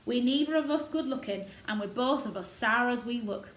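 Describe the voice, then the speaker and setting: A woman's voice: a little high, normal speed, pitched above the performer's own voice, close to an everyday voice. Storybook role: blunt old Yorkshire gardener, rustic dialect, played by a woman, echoey room. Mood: blunt, surly. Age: older. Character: gruff old Yorkshire male gardener, blunt, fond of robins. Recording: roomy echo, noticeable background noise.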